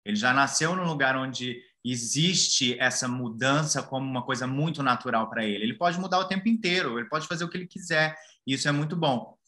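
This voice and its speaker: A man's voice: medium pitch, fairly quick, few pauses, pushed and bright. A Brazilian man in casual conversation as a co-host of a talk show.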